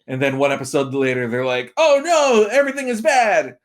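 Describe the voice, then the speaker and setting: A man's voice: fairly high pitch, a little fast. A man chatting casually and giving emphatic opinions as a podcast guest, repeating points for effect. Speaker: podcast guest, film and game pundit.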